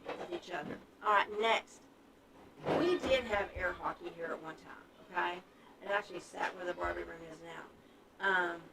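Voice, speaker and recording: A woman's voice: medium pitch, creaky. Chatty woman in her fifties, distant mic. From Texas. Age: 50s. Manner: chatty, upbeat, lists points with many ums. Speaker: children's museum staff member. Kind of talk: casual livestream update. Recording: distant mic with crowd noise. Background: crowd chatter.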